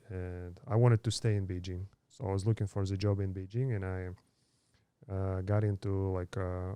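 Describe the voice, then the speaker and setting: Low mellow-voiced man in his thirties. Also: clear, resonant tone, no room sound. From Kazakhstan. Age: 30s. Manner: halting, many fillers and restarts. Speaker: podcast guest. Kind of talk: casual interview storytelling.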